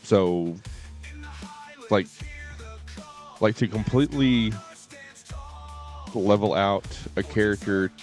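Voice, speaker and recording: A man giving casual live game commentary, thinking aloud in a halting way and often repeating his words. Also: fairly low pitch, a little mellow. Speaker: game livestreamer. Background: faint game music.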